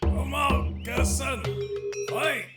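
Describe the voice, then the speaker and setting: A man's voice: very high-pitched, drawn-out words, roomy sound, loud music behind the voice, exaggerated voice. An actor playing a theatrical, villainous big-game hunter in an audio drama, taunting his human prey in formal, grandiose phrases. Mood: taunting, menacing.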